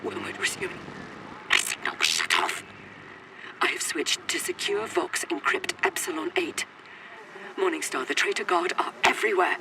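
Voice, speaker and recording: A man's voice: sits high, wildly swooping pitch, moderate pace, vocal fry, noisy background, heavily acted. An actor playing a frightened, urgent man sending formal, pleading distress transmissions over radio in a sci-fi game. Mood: tense, bitter. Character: frightened, desperate male radio broadcaster in hiding during invasion.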